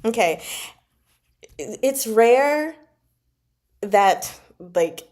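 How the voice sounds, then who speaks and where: A woman chatting casually as a book club host, recapping a novel with gushing, slangy asides and drawn-out emphasis. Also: on the high side, expressive, a little mellow.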